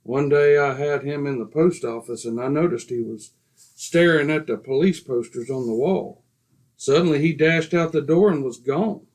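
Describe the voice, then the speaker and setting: A man's voice: a little high, moderate pace, darkish tone, close to an everyday voice. A man playing a genteel, smug society neighbor in an old-time radio comedy, formal and politely superior. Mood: smugly polite.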